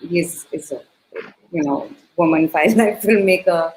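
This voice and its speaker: A woman's voice: lowish voice, a little slow, a little mellow, a little hiss or hum, poor audio. A female filmmaker guest in a casual panel chat, speaking reflectively with hesitations. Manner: reflective, hesitant, laughs easily.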